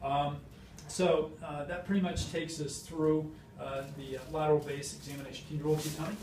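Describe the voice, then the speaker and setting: A man giving a clinical teaching demonstration as a physician, explaining technically in a halting way. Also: a little high, level tone. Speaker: physician demonstrating hip exam. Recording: roomy, distant mic, noisy background.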